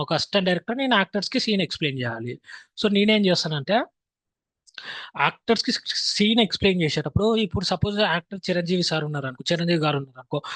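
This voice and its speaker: Male instructor, Telangana accent, high-pitched voice, dry close sound. Informal teaching, key points repeated, English phrases mixed in. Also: dry recording. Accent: Telangana Telugu. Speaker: filmmaking instructor. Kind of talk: informal explanatory lesson.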